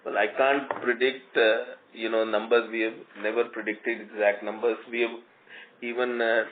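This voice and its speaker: A man's voice: mid-range voice, flat delivery, normal speed. A man speaking as a company executive, answering analysts' questions on a conference call. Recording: tinny phone line with clear noise.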